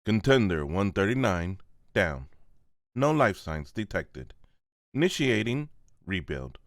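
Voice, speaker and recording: A cold laboratory machine, voiced by a man in a manga dub, clipped and impassive. The voice is low and very dry. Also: big pitch swings, takes it slowly, creaky, dry recording, heavily acted. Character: impassive laboratory machine reporting test results. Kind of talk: amateur manga voice-over.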